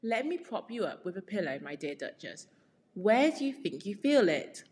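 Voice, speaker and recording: A woman's voice: medium pitch, sing-song, normal speed, a little mellow, close to an everyday voice. A woman voicing a prim, firm female cat hostess in a storybook, polite but severe. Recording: very reverberant room.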